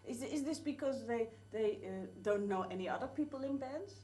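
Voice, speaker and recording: A woman with a Dutch accent interviewing a guest, starting her questions haltingly and repeating words. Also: a little high, lively intonation, dark tone. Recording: roomy, distant mic.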